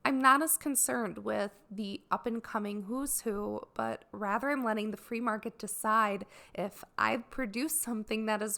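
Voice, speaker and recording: A woman hosting a solo podcast for artists, sounding raspy and dry as she gets over a cold, talking in an earnest, explanatory way. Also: medium pitch, pushed and bright. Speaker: podcast host.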